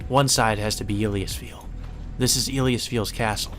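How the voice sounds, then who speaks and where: A man with a fairly low voice reads a visual novel aloud for a let's play. His delivery moves between narration and informal, casual commentary. Faint music plays behind him. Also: fairly even intonation, moderate pace, a bit bright. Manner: informal, swears in asides. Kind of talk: narrated read-through with casual side comments. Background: background music.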